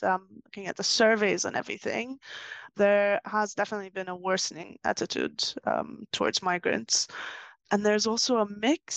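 A woman's voice: mid-range voice, creaky, no room sound. A woman from Turkey giving conversational, explanatory answers as an interview guest. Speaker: interview guest, author and financial journalist.

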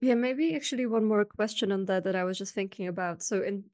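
A woman's voice: mid-range voice, fast talker, darkish tone. A woman from Eastern Europe interviewing casually on a podcast, with informal, rambling phrasing.

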